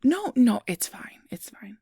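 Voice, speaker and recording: A woman playing a friendly, easily flustered registration clerk in a soft-spoken ASMR roleplay, gentle, hesitant and reassuring. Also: a little high, sing-song, unhurried, gravelly, slightly rough, dry recording, clean studio sound, exaggerated voice. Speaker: interviewer.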